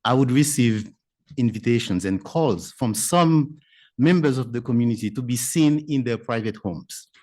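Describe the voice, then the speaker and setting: A man from Haiti, a physician speaking on a conference panel in a measured, thoughtful way with pauses and fillers. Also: medium pitch, mellow. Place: conference breakout room.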